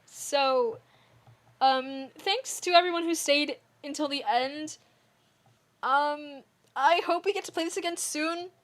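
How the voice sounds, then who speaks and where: A young woman streamer with a hoarse, worn voice, reading a dating game aloud and chatting casually to viewers. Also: sits high, takes it slowly. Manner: casual, playful, trailing off with restarts. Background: music.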